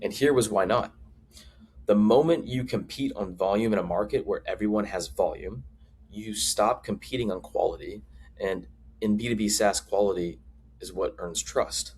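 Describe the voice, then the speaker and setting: Man, lowish voice, emphatic and deliberate, scripted explainer, faint ambient noise. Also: darkish tone. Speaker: marketing advisor presenting to camera.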